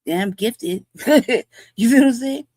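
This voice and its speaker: A woman's voice: on the high side, lively intonation, a little slow, darkish tone, dry recording, clean studio sound. A woman hosting a show, talking in blunt, profane, rambling commentary and laughing often. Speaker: show host.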